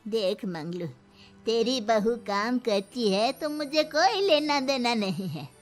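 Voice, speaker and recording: A woman acts a domineering old village grandmother in a moral story. Her voice is high and her delivery slow, as she nags and complains. Faint music runs underneath. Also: expressive. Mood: bossy complaining. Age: older. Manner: nagging, bossy, complaining. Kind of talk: dramatised Hindi moral story.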